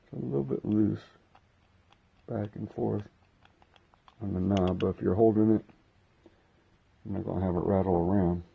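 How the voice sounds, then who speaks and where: A man hosting a casual unboxing video, talking haltingly as he thinks aloud while handling gear. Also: on the low side, gravelly, slightly rough, phone-quality sound. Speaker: host of a hobby unboxing video. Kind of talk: casual unscripted product walkthrough.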